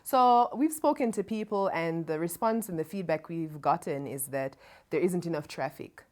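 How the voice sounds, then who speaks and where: A woman's voice: fairly low pitch, moderate pace, a little mellow. A Jamaican woman co-hosting a casual chat, talking freely and laughing easily. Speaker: co-host.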